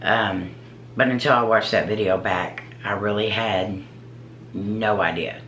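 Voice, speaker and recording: A woman with a deep, low voice, a paranormal investigator telling her own story in a casual, earnest, halting way. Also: fairly even intonation, fairly bright tone, highs cut off, slight background noise. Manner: earnest, halting, searching for words. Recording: roomy echo.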